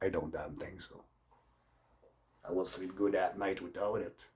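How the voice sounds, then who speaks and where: An upset man with a French Canadian accent and halting English, a native French speaker, delivering an unscripted, profane political rant as a vlogger. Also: deep voice, fairly even intonation, a little mellow, roomy sound, a little hiss or hum.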